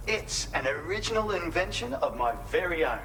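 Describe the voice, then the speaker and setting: Casting: eccentric, whimsical male inventor for a game cutscene, higher-than-average voice, clearly noisy capture. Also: animated, moderate pace, fairly bright tone, far from the mic. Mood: eager, proud. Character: eccentric, otter-loving male inventor, cheerful and earnest. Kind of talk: scripted video game cutscene acting.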